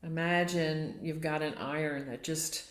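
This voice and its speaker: A woman leading a guided relaxation as a yoga nidra teacher, gently and without hurry, inviting listeners along. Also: low-pitched, steady tone, unhurried. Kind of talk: guided meditation and relaxation instruction. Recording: roomy, echoing sound.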